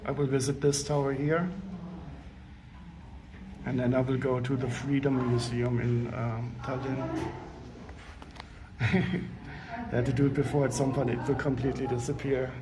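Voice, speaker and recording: A man with a raspy voice and a sore throat, chatting casually with viewers on a live travel stream from a lookout tower. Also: medium pitch, little pitch movement, a little slow, long pauses, background noise. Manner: chatty, answering viewers while touring. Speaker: livestreamer. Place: outdoors on a tower lookout above a city.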